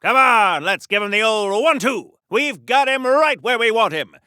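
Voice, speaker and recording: A man's voice: high-pitched, animated, moderate pace, bright, forceful tone, clean tone, dry recording, clean studio sound. A man playing an earnest, prim 1940s patriotic soldier superhero in a game, with quaint, polite and theatrical delivery. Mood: plucky, eager. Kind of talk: golden-age comic book hero game dialogue.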